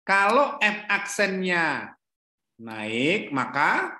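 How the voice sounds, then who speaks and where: Bright-voiced man lecturing in an echoey room. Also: high-pitched, big pitch swings, very slow. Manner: questioning, self-correcting, repeats "ya". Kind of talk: online calculus lecture. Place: home room, not office.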